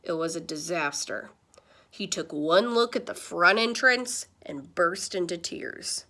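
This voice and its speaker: A woman reading a children's story aloud in the style of a teacher, with dramatic pauses and stressed words. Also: lowish voice, pushed and bright.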